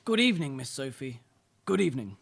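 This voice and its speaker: A woman's voice: rumbling low, sing-song, a little fast, gravelly, slightly rough, exaggerated voice. A woman acting a deferential, formal male butler in an amateur radio play, repeating himself obligingly. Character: James, deferential elderly male English butler.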